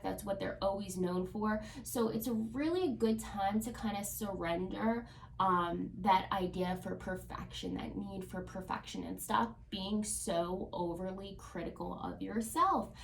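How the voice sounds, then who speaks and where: A woman's voice: mid-range voice, creaky, echoey room, recorded from a distance, a little hiss or hum. A woman with a cold, sounding congested, chatting casually through a tarot reading with lots of ums. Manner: chatty, with frequent ums and likes. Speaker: tarot reader.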